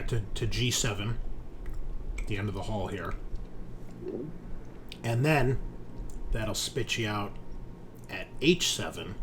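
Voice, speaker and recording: Low, unhurried man running a game. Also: a bit bright, slight background noise. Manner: halting, pausing mid-sentence to think. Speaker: game master. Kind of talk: improvised tabletop game narration.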